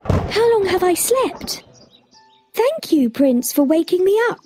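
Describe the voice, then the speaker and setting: A woman's voice: extremely high pitch, very animated, slow, mellow, soft background music, heavily acted. A woman playing a sweet, polite young princess in a children's fairy tale. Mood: grateful. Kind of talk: fairy tale storybook acting.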